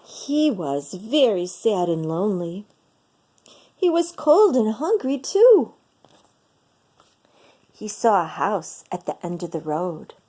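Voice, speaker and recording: A woman reading a picture book aloud in an expressive, dramatic storytelling manner for children. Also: mid-range voice, slow pace, pauses often.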